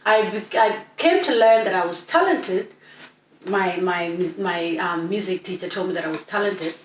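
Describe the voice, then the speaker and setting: A woman's voice: on the low side, normal speed. Young woman from East Africa, chatty interview guest, distant mic in a roomy space. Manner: chatty, many restarts, lively storytelling. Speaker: guest singer. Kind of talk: casual interview. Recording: echoey room, distant mic, faint noise.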